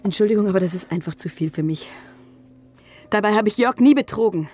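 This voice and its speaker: An actress playing a shrewd, flirtatious wife with a sardonic, challenging manner in a radio crime drama. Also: female voice, medium pitch, fairly quick, darkish tone, faint music behind, close to an everyday voice. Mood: wheedling. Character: shrewd, flirtatious, scheming wife, sharp-tongued when crossed.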